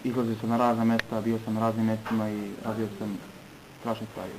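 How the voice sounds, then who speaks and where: A man from Serbia giving an earnest personal testimony in short phrases, pausing between them for an interpreter. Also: on the low side, monotone, noisy background.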